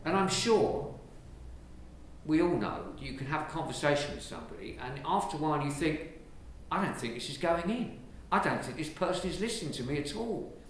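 An Englishman, a priest, giving a lively, conversational sermon from the pulpit of a large church, filmed from a distance. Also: on the high side. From England. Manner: lively, conversational preaching with gestures. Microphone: far camera. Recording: very echoey church from far off, faint hiss.

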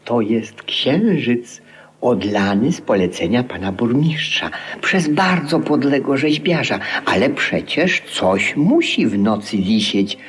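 An actor playing a deadpan male vagabond in a 1950s radio play. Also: medium pitch, slow pace, breathy edge, full character voice. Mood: wry, measured.

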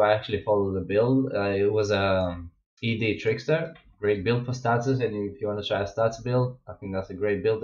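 A young Russian-born man with a Russian accent, chatting casually as a streamer in his gaming room, rambling with many fillers and restarts. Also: lowish voice. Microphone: wireless headset. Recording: echoey, dull lo-fi home mic.

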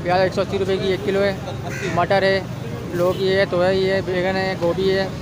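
A man's voice: high-pitched, completely flat delivery, normal speed, noisy background. A male vlogger walking through an outdoor market stall, filming on his phone and upbeat as he repeats prices to the camera. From Pakistan. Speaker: vlogger host. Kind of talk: walking market tour vlog.

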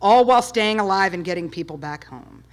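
A woman in her fifties with a loud, eager delivery, pitched in an ordinary middle range. She drives her talk with punchy rhetorical questions. She speaks to an audience in a dark lecture hall, picked up by a far camera. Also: expressive, brisk. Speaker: guest speaker, space history presenter. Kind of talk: public talk with slides.

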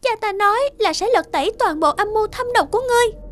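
A woman voicing a gentle, loyal young princess in a cartoon fairy tale, polite and earnest. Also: very high-pitched, lively intonation, fast. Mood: earnest, defiant.

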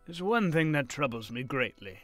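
A man's voice: mid-range voice, big pitch swings, moderate pace, a bit bright, dry recording, faint music behind. A man playing an elderly history professor in an audio drama, wistful, wondering and hesitant. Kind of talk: film-adaptation audio drama acting.

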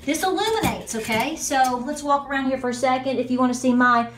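A woman's voice: high-pitched, brisk, reverberant. A woman hosts a casual homesteading product review vlog, talking straight to viewers in a chatty, emphatic way from a small bathroom, recorded on a handheld phone. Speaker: homesteading channel host.

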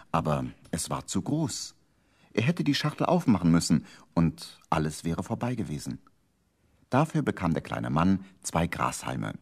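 A man's voice: low voice, darkish tone, husky, exaggerated voice. A man narrating a children's radio play as its scripted storyteller.

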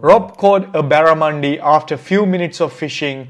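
A man's voice: a little high, normal speed, a little hiss or hum. A man reading a scripted voice-over about a trip as a vlog narrator. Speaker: vlogger narrator. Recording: music behind the voice. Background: background music.

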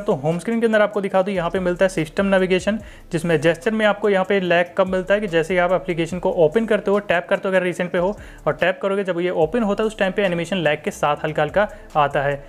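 A man speaks in a high voice at a quick clip. He explains step by step in a casual, informal reviewer style, often circling back over the same phrases. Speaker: tech reviewer, channel host.

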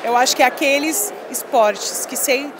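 A woman's voice: sits high, unhurried, noisy background. A woman in her thirties, a former volleyball player, chatting emphatically in an interview on a handheld mic at a busy expo hall, dropping lots of little fillers. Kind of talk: casual interview. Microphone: handheld mic held by interviewer.

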